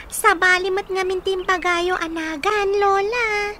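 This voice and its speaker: A small, innocent child, high-pitched and very animated. The child chatters with an Ilocano accent in a radio drama, over clear background noise. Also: female voice, slow pace, heavily acted. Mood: innocent, lively.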